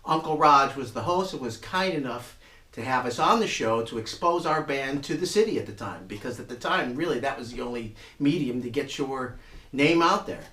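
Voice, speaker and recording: A middle-aged man gives a casual spoken introduction as a musician looking back. His medium-pitched voice sounds clearly echoey and roomy. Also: expressive, normal speed, sharp tone. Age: middle-aged or older. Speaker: musician introducing an archive recording.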